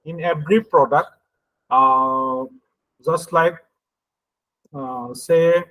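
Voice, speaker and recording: A man with an Indian accent giving an explanatory lecture in a halting, hesitant way, often checking that listeners follow. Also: fairly high pitch, fairly even intonation, sharp tone, rough recording. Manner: halting and hesitant, frequently checks understanding with "okay" and "right". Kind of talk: explanatory lecture with everyday examples.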